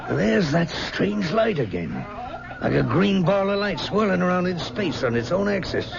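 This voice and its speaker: An actor playing a gruff, tense county sheriff in an old radio drama. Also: male voice, high voice, very animated, moderate pace, a crowd in the background, exaggerated voice. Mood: wary. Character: tense small-county sheriff hunting a fugitive. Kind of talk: 1970s radio drama acting. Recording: dull old radio recording, background noise.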